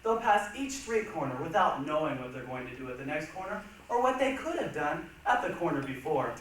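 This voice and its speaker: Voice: medium pitch, very animated, a little fast. A stage reader reciting literary texts with emphatic, dramatic delivery in a reader's theatre performance. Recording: echoey hall from far off, faint noise.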